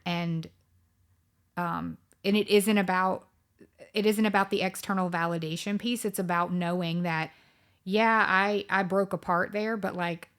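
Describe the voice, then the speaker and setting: Podcast host, woman in her thirties, low-pitched, reflective and meandering. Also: fairly even intonation, a little slow, a bit bright, clean tone. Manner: reflective, rambling with many fillers and restarts. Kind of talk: casual chat.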